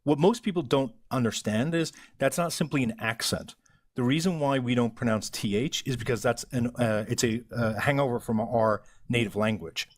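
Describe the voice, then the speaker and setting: An Irish man in a casual live-stream chat, earnest and argumentative, explaining his view at length. His voice sits in an ordinary middle pitch. The sound is dry and close, with no room echo. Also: normal speed. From Ireland. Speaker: livestream host.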